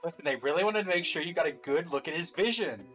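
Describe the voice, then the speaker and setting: A man's voice: on the high side, expressive, fast talker, fairly bright tone, soft background music. A man co-hosting a reaction video, giving casual, opinionated commentary on game trailers in a wry way.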